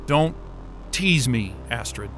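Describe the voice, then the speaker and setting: An actor playing an excitable, sarcastic, foul-mouthed astrophysicist in a naturalistic audio drama. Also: male voice, medium pitch, slow pace, slight background noise. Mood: exasperated.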